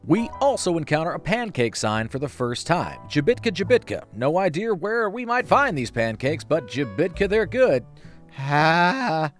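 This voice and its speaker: A man's voice: a little high, big pitch swings, moderate pace, faint music behind. A man delivering comedic scripted narration for an online video, with wry, playful and self-deprecating asides. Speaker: YouTube video essay narrator.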